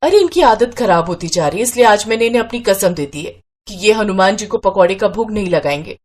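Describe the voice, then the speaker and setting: A woman's voice: medium pitch, animated, fairly quick, natural voice. A woman voicing a stubborn, exasperated wife who scolds and complains in a moral story. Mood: complaining. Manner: sharp complaining. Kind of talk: Hindi moral story acting.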